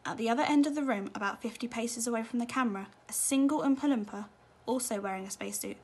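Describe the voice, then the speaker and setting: A woman's voice: fairly high pitch, quick pace, darkish tone, slightly rough. A woman narrating a children's storybook expressively, as a teacher reading at bedtime.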